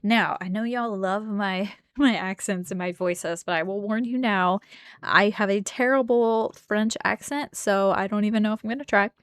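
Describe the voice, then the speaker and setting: A woman's voice: medium pitch, normal speed, clear, resonant tone, no room sound, clean studio sound. Chatty woman doing casual gameplay commentary. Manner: chatty, self-deprecating. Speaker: let's play host.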